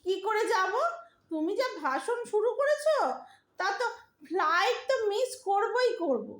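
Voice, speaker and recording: A woman's voice: very high voice, big pitch swings, measured pace, reverberant, exaggerated voice. A woman playing an impatient but loving young married Bengali daughter in an audio drama, pleading and emotional, with a Bengali accent. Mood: impatient, teasing.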